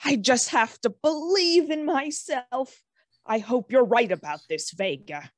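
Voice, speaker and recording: Timid cartoon shrub, played by a woman, slow-paced and high, dramatic and plucky. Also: animated, dry recording, clean studio sound. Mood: anxious, hopeful. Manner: dramatic, exclamatory. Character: timid small talking shrub plucking up courage. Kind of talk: animated fantasy table read.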